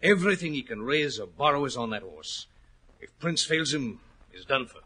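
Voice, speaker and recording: Worried racehorse trainer, bright husky voice. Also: male voice, medium pitch, big pitch swings, normal speed, exaggerated voice. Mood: worried, earnest. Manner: earnest, rustic turns of phrase, dramatic storytelling. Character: worried, plain-spoken racehorse trainer, anxious and respectful. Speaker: actor in a radio drama. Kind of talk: 1940s radio acting. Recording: old 1940s radio recording.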